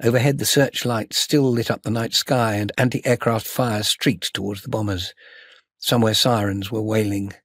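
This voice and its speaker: A man narrating an audiobook in a scripted, dramatic style, with measured, suspenseful delivery. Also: lowish voice, steady tone, no echo, clean studio sound.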